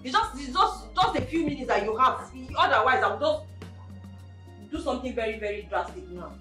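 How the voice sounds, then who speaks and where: An actress playing a brash, threatening pregnant young wife in a melodrama, ranting and stammering loudly. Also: female voice, on the high side, expressive, brisk, soft background music. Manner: loud, defiant, dramatic, stammering rants. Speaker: actor. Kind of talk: melodrama acting.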